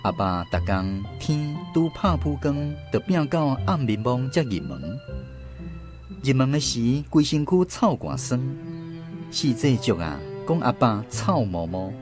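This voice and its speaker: A man's voice: mid-range voice, big pitch swings, unhurried. A man playing a son who looks back on his poor childhood, narrating a radio drama with a Taiwanese accent. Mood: nostalgic. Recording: music under the voice.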